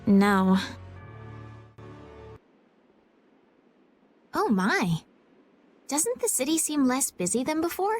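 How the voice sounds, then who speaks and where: A sweet, idealistic young lady of a fantasy game, polite and proper in her phrasing. Her voice is extremely high and lilts with lively movement over faint music. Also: unhurried. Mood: gentle. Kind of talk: video game voice acting.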